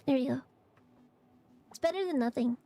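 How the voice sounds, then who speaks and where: A young woman streamer chatting casually and rambling with fillers, her voice a little hoarse and raspy from allergies. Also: high-pitched, normal speed, warm tone, no echo. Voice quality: raspy, phlegmy throat. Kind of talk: casual chat to stream viewers.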